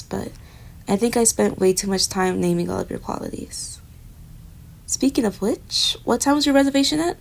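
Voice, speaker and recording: A young woman voicing a flustered, jealous roommate in a romantic audio roleplay, talking straight to the listener and trailing off into soft murmurs. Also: medium pitch, vocal fry.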